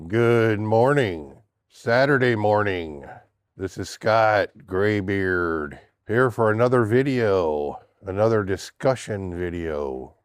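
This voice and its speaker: Gruff low-voiced older man with a stuffy nose. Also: expressive, slow pace, no room sound, studio quality. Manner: blunt, indignant. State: stuffy, congested from a cold. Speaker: political vlogger. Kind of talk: casual opinion monologue.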